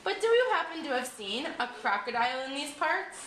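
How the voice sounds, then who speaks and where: A woman's voice: high voice, big pitch swings, fairly quick, a bit bright, roomy sound, slight background noise, voice pushed up, poor audio. A woman voicing a polite, endlessly curious little elephant child in a storybook reading. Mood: eager, polite. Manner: very polite, wide-eyed questioning.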